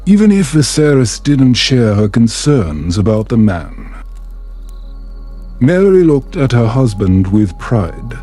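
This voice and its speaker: Very dark, mellow male bedtime narrator. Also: medium pitch. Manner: soothing, measured, unhurried. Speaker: storyteller, narrator. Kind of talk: calm bedtime story narration.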